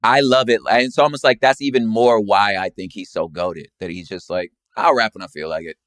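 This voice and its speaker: A man's voice: on the low side, a little fast, fairly bright tone, no echo, clean studio sound. An American man chatting casually about music in an emphatic, slangy way, often repeating his phrases. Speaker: rapper and poet, guest.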